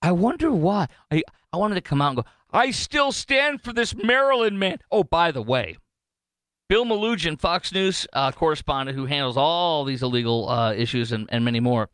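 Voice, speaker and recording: A man hosting talk radio from a studio, jumping into high, mocking tones and tripping over his words. His voice is smooth and clear, recorded dry and close. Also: moderate pace, dry recording. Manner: animated and sarcastic, full of fillers and restarts. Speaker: fill-in radio talk show host. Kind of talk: casual talk radio commentary.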